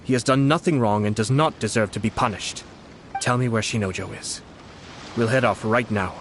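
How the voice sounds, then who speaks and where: A man playing a shrewd, unflappable young nobleman in an anime-style game, speaking in a measured, polite, formal way. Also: fairly low pitch, noisy background, natural voice. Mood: calm, resolute.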